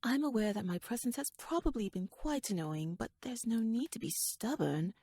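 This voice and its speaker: Woman acting as a shrewd noblewoman, audio roleplay, breathy mid-pitched voice, talkative, formal, slyly playful, dry intimate recording. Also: expressive, normal speed, dark tone, dry recording. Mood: wry, persuasive. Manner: wordy, formal, rhetorical, musing. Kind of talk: ASMR audio roleplay monologue addressed to the listener.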